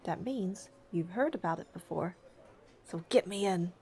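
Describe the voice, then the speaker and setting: A woman's voice: fairly low pitch, unhurried, darkish tone. A woman voicing a practical, skeptical young heroine in an English-dubbed game, dry and matter-of-fact as she narrates and talks. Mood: firm.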